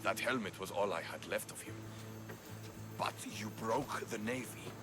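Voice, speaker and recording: A man's voice: lowish voice, unhurried, over music, lightly acted. A man voicing a proud Spartan commander in a game cutscene, speaking bluntly and with command. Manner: blunt and commanding, with fierce swings. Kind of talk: video game cutscene acting. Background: orchestral score.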